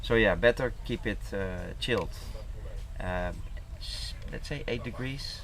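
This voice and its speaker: Halting man explaining, noisy background. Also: fairly low pitch, fairly bright tone. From foreigner living in the Philippines. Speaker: seed company representative being interviewed. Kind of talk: casual interview, explaining farm produce. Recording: clear background noise, vehicle sounds.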